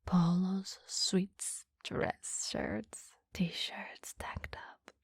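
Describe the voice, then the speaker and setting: A woman playing a flirty, doting boss in an ASMR roleplay, soft, whispery and intimate, close to the mic. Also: fairly low pitch, very animated, dry recording, exaggerated voice. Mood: flirty, admiring. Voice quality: breathy, whispery. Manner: soft, slow, intimate, teasing. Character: flirty, doting female boss visiting her employee. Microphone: close-up microphone.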